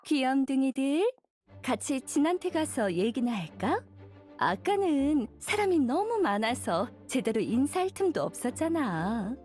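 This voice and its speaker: An elegant young witch in an anime game. She speaks slowly in a high, swooping voice, languid and playfully doting. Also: big pitch swings, a little mellow, soft background music. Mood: teasing, playful.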